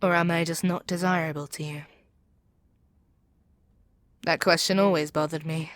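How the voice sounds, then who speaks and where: A woman's voice: low voice, fairly even intonation, normal speed, no room sound, close to an everyday voice. A woman playing a proud, seductive demon queen in an ASMR audio roleplay, speaking in an imperious, persuasive, theatrical way. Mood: wistful, curious. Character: proud, seductive demon queen brainwashing her captive hero.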